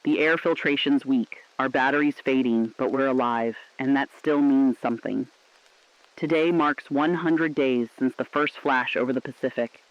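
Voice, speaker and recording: A man's voice: medium pitch, no room sound, highs cut off, close to an everyday voice. A man playing a weary but hopeful broadcaster in an underground relay, speaking in a solemn, poetic, reflective style. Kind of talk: scripted apocalyptic radio broadcast narration.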